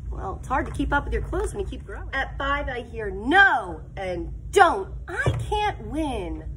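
A middle-aged woman, a teacher reading a picture book aloud to young children with expressive, drawn-out emphasis and explanatory asides. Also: fairly high pitch, a little hiss or hum. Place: school classroom, indoors.

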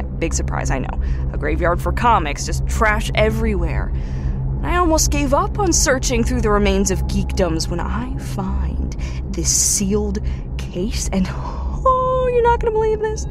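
A woman playing a brash, foul-mouthed, geeky post-apocalyptic scout, rambling excitably and sarcastically through a log report in an audio drama. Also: on the high side, lightly acted. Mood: thrilled. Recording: vehicle hum and noise behind voice.

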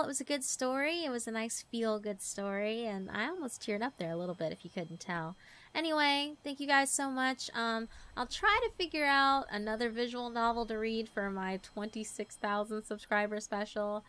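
A woman's voice: medium pitch, fairly bright tone. A woman hosting a channel, talking casually and informally to her viewers, sometimes trailing off. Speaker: visual novel narrator and channel host.

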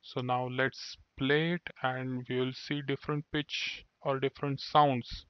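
A man giving a screen-recorded software tutorial, explaining steps one by one and sometimes restarting words. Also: mid-range voice, level tone, unhurried, clean tone, dry recording. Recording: dull dry lo-fi voice-over.

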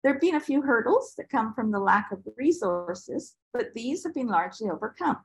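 An older woman from Barbados reading a prepared presentation in a measured, formal manner on a video call. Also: a little high, animated, slightly rough. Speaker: artist and panel presenter. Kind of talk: prepared presentation read aloud. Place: indoor room with bookshelves, on a video call. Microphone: video call microphone.

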